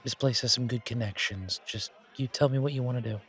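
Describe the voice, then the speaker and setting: A man's voice: mid-range voice, fairly quick, fairly bright tone, faint music behind, natural voice. A man playing a friendly, reassuring bartender in a casual, naturalistic audio roleplay, with a chatty and slightly hesitant delivery. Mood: concerned, reassuring. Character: friendly, reassuring male bartender at a supernatural bar. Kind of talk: casual, naturalistic one-sided roleplay acting. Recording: crowd chatter behind voice. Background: bar crowd chatter.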